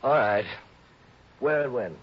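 An actor playing an anxious, cornered stockbroker on a 1960s radio drama, speaking in a nervous, pleading way. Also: male voice, medium pitch, animated, takes it slowly, husky. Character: anxious cornered male stockbroker, middle-aged. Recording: dull old radio broadcast.

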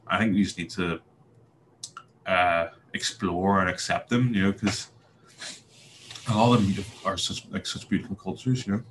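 A man's voice: sits low, steady tone, moderate pace, pauses often, fairly bright tone, a little hiss or hum, poor audio. A young man with a Belfast accent, a podcast guest talking casually with a lot of fillers and restarts. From Northern Ireland. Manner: hesitant, many fillers and restarts. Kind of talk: casual interview talk.

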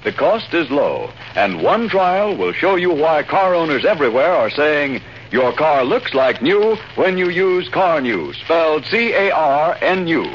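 Animated American announcer on muffled vintage radio. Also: male voice, on the high side, unhurried. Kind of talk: 1940s radio advertisement. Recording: muffled 1940 radio recording, faint noise.

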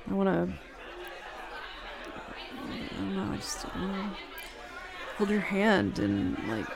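A woman playing a flustered, smitten, jealous roommate in an intimate roleplay audio, speaking haltingly and nervously. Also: medium pitch, big pitch swings, full character voice. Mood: shy, tender. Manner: halting, nervous, stammering with breathy laughs. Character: flustered, smitten, jealous bad-girl roommate confessing a crush. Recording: bar crowd murmur behind voice.

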